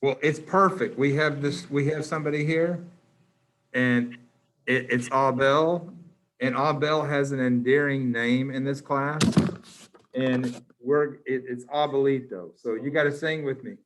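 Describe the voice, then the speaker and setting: A man's voice: medium pitch, steady tone, measured pace, fairly bright tone, a little hiss or hum, low-quality recording. A man teaching a class over a video call, joking and teasing his students, with many restarts.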